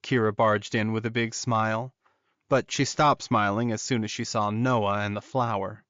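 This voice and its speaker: A man's voice: lowish voice, fairly even intonation, moderate pace, a bit bright, no echo. Male audiobook narrator, dry muffled sound. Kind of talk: scripted fiction narration.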